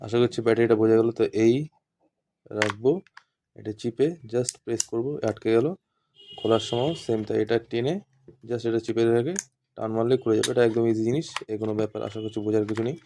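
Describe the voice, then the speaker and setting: A man's voice: lowish voice, fairly even intonation, fast, mellow. A man with a very level, unanimated voice gives an informal practical demonstration. He goes step by step and often repeats himself. The recording has noticeable noise and small clicks. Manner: repetitive step-by-step explaining. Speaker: instructor demonstrating walkie-talkies. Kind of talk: informal hands-on tutorial.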